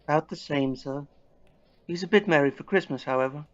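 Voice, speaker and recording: A man voicing a poor married woman, quiet, meek and respectful, in a Victorian audio play. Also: on the high side, fairly quick, lightly acted. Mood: meek, puzzled. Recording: dull lo-fi recording.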